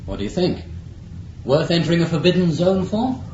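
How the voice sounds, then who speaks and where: An actor playing a keen, questioning young spaceship crewman in a radio drama, brisk, persuasive and insistent. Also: a little high, sing-song, mellow. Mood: keen, technical. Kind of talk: 1980s radio drama acting. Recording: dull roomy old radio recording, light noise.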